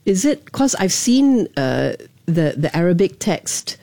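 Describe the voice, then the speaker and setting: A woman's voice: fairly low pitch, very animated, takes it slowly, dark tone, no echo. A woman hosting an interview, chatty and affirming, asking long questions.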